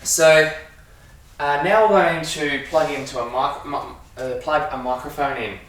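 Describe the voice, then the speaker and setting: A man with an Australian accent giving a casual, halting hands-on demonstration as a sound engineer while he works. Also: fairly high pitch, steady tone, fairly bright tone. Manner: informal, halting, talking while working with hands. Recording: echoey room, distant mic, faint noise.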